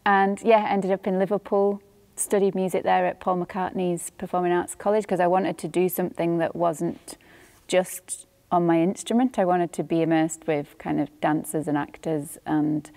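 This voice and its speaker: Yorkshire-accented woman, relaxed guest. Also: lowish voice, moderate pace. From born in Northern Ireland, raised in England. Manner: relaxed, thoughtful, laughs easily. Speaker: guest musician. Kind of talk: casual interview chat. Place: studio hall with a grand piano. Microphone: lapel mic.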